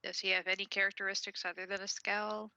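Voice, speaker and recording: A woman's voice: medium pitch, flat delivery, fast talker, gravelly, sharp tone. A woman playing a tabletop game, earnestly arguing her character's case. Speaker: player.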